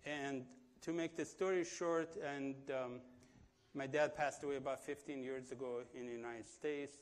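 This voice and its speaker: A man in his fifties from Iran, with an Iranian accent, gives his testimony in a church, pausing often with fillers and restarts. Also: medium pitch, steady tone, smooth. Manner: halting, full of fillers and restarts. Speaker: guest speaker giving testimony.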